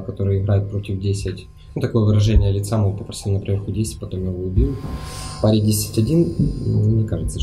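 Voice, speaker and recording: Low, mellow man thinking aloud. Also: gravelly, rough recording. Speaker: game player. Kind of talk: reasoning aloud in a mafia game.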